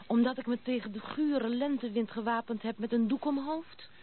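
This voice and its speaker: A woman's voice: on the high side, close to an everyday voice. Actress as a headstrong young noblewoman, tinny old broadcast. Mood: brisk, self-assured. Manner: brisk, self-assured, outspoken. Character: headstrong, unconventional young noblewoman, blunt and proud. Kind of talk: Dutch radio play acting. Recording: muffled narrow-band old radio recording, noisy.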